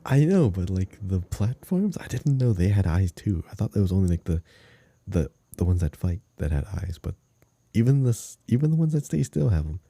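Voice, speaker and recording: A man's voice: sits low, very animated, moderate pace, dark tone, no room sound. A man co-hosting a podcast, chatting casually about a video game in an enthusiastic, emphatic way. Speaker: podcast co-host.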